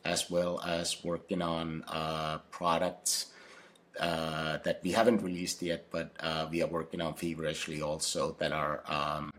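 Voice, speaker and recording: A man speaks in a very low voice. He answers interview questions haltingly and fills his pauses with many fillers and restarts. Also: level tone, a bit bright. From Finland. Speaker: podcast guest, company founder.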